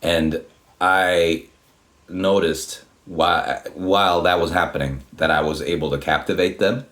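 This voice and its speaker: Very deep-voiced man rambling. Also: steady tone, unhurried, fairly bright tone. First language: Swedish. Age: 30s. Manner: rambling, many restarts and swearing. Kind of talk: casual storytelling.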